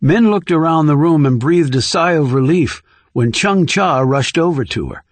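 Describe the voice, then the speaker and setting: A man's voice: a little high, animated, normal speed, no room sound. A man reading scripted thriller narration as an audiobook narrator.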